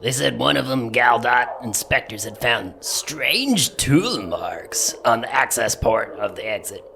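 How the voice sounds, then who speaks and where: A feathered alien cowpoke in a sci-fi comedy podcast. He has a gravelly, bright-edged voice and a folksy American Southern drawl. His pitch moves around in a lively, plainspoken, wary way. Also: unhurried, a little hiss or hum, full character voice. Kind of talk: comedy audio drama acting.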